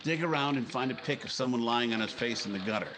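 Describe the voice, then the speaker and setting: A man's voice: medium pitch, fast, background noise, natural voice. A man playing a cynical, hard-boiled newspaper editor in a 1950s-style radio drama, clipped and matter-of-fact. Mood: coolly scheming. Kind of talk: 1950s radio drama acting and first-person narration.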